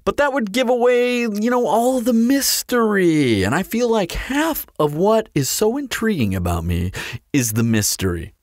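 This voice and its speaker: A man's voice: sits high, very animated, measured pace, no echo, clean studio sound. A man playing a teasing, flirtatious immortal vampire in an audio roleplay, with a playful, sardonic, theatrical drawl. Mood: coy, teasing. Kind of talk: scripted audio roleplay acting.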